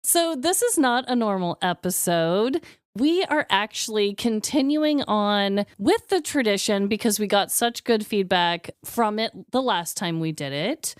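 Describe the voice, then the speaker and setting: A woman's voice: medium pitch, animated, measured pace, smooth, no room sound, studio quality. A woman co-hosting a podcast in casual chat, effusive and informal in how she talks. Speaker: podcast co-host.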